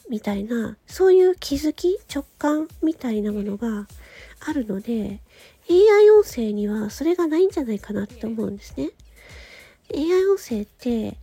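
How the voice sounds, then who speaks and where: A woman's voice: a little high, husky. A woman hosting a solo audio show, chatting casually and thinking aloud in a conversational way. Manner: conversational, thinking aloud, frequent soft agreeing particles. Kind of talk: casual monologue on a chosen theme.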